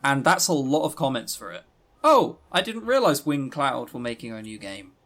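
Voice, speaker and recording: A man co-hosting a podcast in casual chat, with a sarcastic, sweary, animated way of talking. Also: medium pitch, moderate pace. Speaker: podcast co-host. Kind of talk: casual chat reviewing visual novels.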